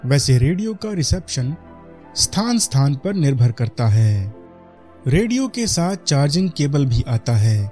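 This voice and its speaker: A man's voice: mid-range voice, very animated, measured pace, darkish tone, faint music behind. A man with an Indian accent giving a product demonstration voice-over as a reviewer.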